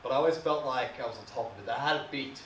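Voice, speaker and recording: A man playing a bitter, wounded son of an alcoholic father in a dramatic monologue, speaking in a brooding, emotional, halting way. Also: medium pitch, fairly bright tone, close to an everyday voice. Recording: distant mic, echoey room, faint hiss.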